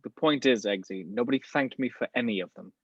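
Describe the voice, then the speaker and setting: A man playing a polished, calm middle-aged spy mentor in an amateur table read. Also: mid-range voice, quick pace, breathy edge, no room sound, lo-fi sound. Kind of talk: amateur table read over video call.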